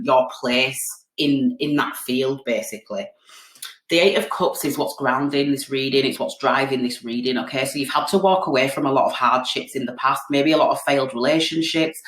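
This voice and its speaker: A woman's voice: sits low, fairly bright tone. A British woman with a bit of a cold gives a casual tarot reading, chatty and full of "okay?" and "um". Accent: British English. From United Kingdom. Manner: chatty, repeats "okay?" and "um" often. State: has a cold, sniffly. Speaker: tarot card reader. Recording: echoey room.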